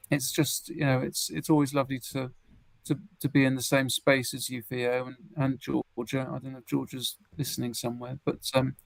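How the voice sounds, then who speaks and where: A livestream guest chatting casually in a halting way, searching for words. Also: medium pitch, gravelly, no room sound, low-quality recording.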